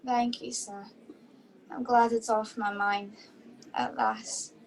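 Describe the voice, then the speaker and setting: A woman's voice: mid-range voice, very animated, slow pace, rough recording. A woman acting a proud, dutiful memory performer who recites facts formally in a stage-style radio play. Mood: weary, earnest.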